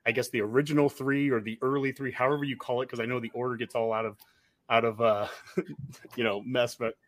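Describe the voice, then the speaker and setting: A man's voice: medium pitch, fairly even intonation, brisk. A man in his forties co-hosting a casual sports podcast, easygoing and chatty with filler words. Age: 40s. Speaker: podcast co-host.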